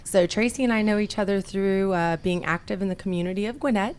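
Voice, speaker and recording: A woman's voice: mid-range voice, quick pace, a little mellow, smooth. A woman hosting a radio talk show in a studio, upbeat and chatty, laughing easily.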